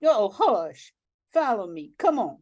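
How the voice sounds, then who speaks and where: Woman playing a slow-talking, folksy grandma. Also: mid-range voice, very animated, a little mellow, lo-fi sound. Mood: scolding. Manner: folksy, scolding, coaxing. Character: feisty, bossy Cajun grandmother fisherwoman with a kind heart. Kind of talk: amateur radio drama acting.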